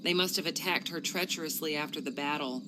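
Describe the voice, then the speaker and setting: A woman's voice: deep voice, fast talker, noisy background, close to an everyday voice. A woman playing a hot-tempered, bitter elf archer in a fantasy game cutscene. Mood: furious, accusing. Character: furious, bitter female elf archer inquisitor. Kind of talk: scripted video game cutscene acting.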